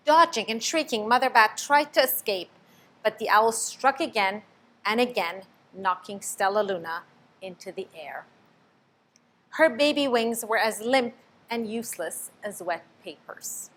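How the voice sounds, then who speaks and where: A woman reading a children's picture book aloud, expressive, stopping to ask the listener questions. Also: mid-range voice, unhurried. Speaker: storybook reader.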